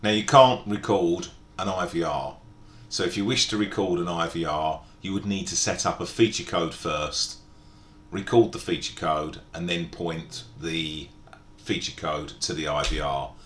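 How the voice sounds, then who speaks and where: A man narrating an informal step-by-step software tutorial in a methodical, explanatory way. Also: measured pace. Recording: echoey room, background hiss and rumble.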